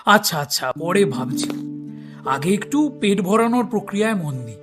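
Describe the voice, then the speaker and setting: An actor playing an older, bookish retired detective in an audio drama, a husky-voiced husband with a teasing, easygoing way of talking. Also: male voice, high-pitched, moderate pace, music in the background. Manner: teasing, expressive.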